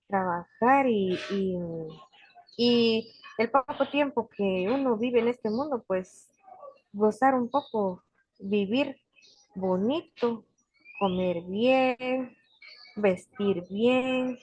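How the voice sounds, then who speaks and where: An older woman, unhurried and full of restarts, telling family stories as a guest on a video call. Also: a little high, breathy edge, no room sound. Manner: warm, unhurried storytelling with frequent restarts. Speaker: guest speaker, forest guardian. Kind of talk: casual storytelling interview. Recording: dull lo-fi video call audio.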